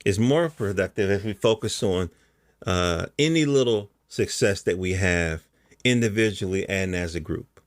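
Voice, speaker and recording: A man's voice: sits low, moderate pace, dry recording. A middle-aged American man hosting a podcast, talking casually in a rambling, colloquial monologue and often checking in with the listener. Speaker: podcast host.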